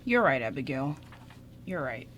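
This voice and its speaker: A woman's voice: rumbling low, very animated, normal speed, a bit bright, a little hiss or hum, full character voice. A woman playing a shy, smitten young man in an amateur radio drama, speaking in a hesitant, stammering way. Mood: grateful, hesitant.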